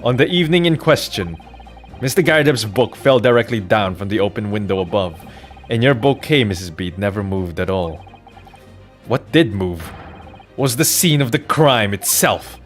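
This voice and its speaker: A man playing a formal, accusing lawyer in a courtroom video game, with deliberate, declamatory delivery. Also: fairly low pitch, animated, soft background music, natural voice. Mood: assertive.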